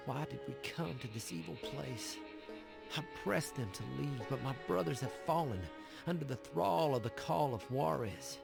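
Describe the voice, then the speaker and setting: A man's voice: medium pitch, gravelly. A man playing a pious, anguished young brother in a Western game, earnest and sermonizing in his delivery. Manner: earnest, sermonizing, quotes scripture. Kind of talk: dramatic Western game narration and dialogue.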